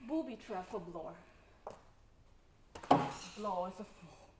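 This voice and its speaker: A young woman acting a deranged, gloating judge turned murderer in a theatrical stage monologue, swinging between hushed menace and outbursts. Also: mid-range voice, expressive, slow pace. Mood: gloating, sinister. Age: young adult. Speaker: student stage actor. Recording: faint noise, live stage play.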